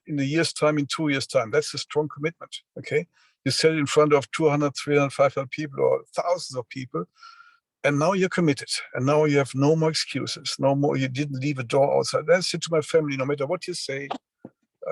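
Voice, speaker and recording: A man in his sixties giving a motivational business talk over a video call, halting often with fillers and restarts. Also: a little high, creaky, dry recording. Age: 60s. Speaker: seminar speaker.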